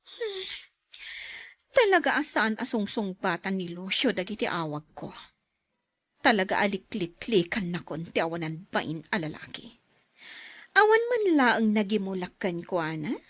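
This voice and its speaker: Clingy, scheming mistress, highly animated. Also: female voice, measured pace, pauses often, dry recording. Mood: bitter, scheming. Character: clingy, scheming mistress, young to middle-aged, demanding. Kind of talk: Ilocano radio drama acting.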